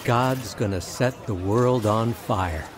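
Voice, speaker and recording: An actor as a timid preacher, noisy background. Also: male voice, mid-range voice, slow, natural voice. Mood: hesitant. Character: timid, mild male preacher. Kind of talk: radio drama acting. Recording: clear background noise with vehicle ambience.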